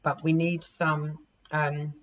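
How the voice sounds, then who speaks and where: Woman, 40s, hoarse, chatty tarot reader, self-mocking, dull lo-fi sound. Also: low-pitched, flat delivery, slow pace. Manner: chatty, laughs at herself, repeats and restarts. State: hoarse, losing her voice. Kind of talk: casual tarot reading to camera. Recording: dull lo-fi recording with faint noise.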